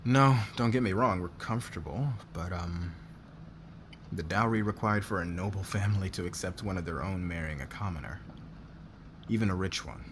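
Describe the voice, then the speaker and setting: A man plays a low-voiced nobleman boss in an intimate audio roleplay. He is demanding and guarded, worn down by debt, and talks in halting phrases with sighs. There is clear noise behind the voice. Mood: weary, confiding. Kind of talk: intimate ASMR roleplay acting.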